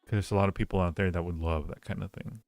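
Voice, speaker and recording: A man with a fairly low voice and plenty of vocal fry hosts a casual advice stream. He talks in a halting, rambling and reassuring way. Faint music plays underneath. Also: fairly even intonation, a little mellow, no room sound. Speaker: livestream host giving advice. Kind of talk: casual chat, answering listener questions.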